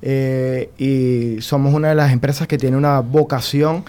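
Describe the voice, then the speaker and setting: A man's voice: medium pitch, steady tone, a little slow, mellow, clear, resonant tone. Venezuelan man, hesitant interview guest. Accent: Venezuelan Spanish. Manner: hesitant, many fillers and restarts. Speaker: guest, company security chief. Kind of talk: radio interview.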